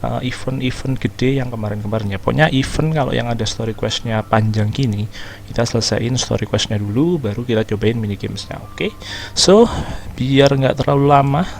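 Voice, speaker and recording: A man's voice: medium pitch, brisk, vocal fry, a little hiss or hum. A man with an Indonesian accent giving casual, slangy game commentary and mixing in English words. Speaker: gaming video host.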